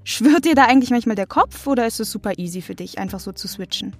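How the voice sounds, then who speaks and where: A woman's voice: a little high, expressive, fast, soft background music. A young woman hosting a casual interview, putting questions in an informal, playful way. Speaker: interviewer, host.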